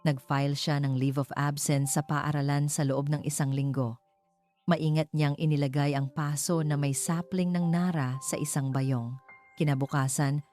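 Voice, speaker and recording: A woman narrates a scripted story. Her voice is low and soft-edged, with a mellow tone, and music plays underneath. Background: background music.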